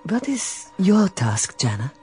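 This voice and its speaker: A calm, devoted robot maid, voiced by a woman, speaks in a very low, steady voice. Her manner is formal and earnest, and faint music plays under her in a radio drama. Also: takes it slowly.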